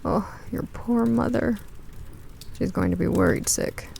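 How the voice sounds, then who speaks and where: A woman's voice: medium pitch, unhurried, vocal fry, darkish tone, a little hiss or hum. A woman voicing a folksy, wry older woman who startles easily, in a radio drama. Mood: worried.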